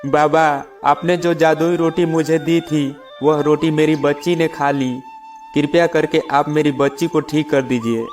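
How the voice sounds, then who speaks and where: A man's voice: on the high side, fairly even intonation, measured pace, soft background music, voice pushed up, natural voice. A man voicing a greedy, jealous farmer who grumbles and schemes in a children's moral story. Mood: pleading.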